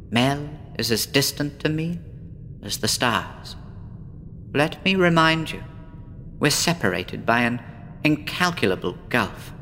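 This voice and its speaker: A man playing a despondent, withdrawn woman patient in a horror audio drama, speaking in a brooding, deliberate, theatrical way. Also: medium pitch, pushed and bright, slight background noise, full character voice. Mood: resigned, desperate. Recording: long reverb with faint background ambience.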